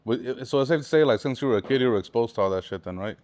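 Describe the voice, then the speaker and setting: A man's voice: medium pitch, racing, a little mellow. A man interviewing a guest casually, with brief reactions and slangy follow-up questions.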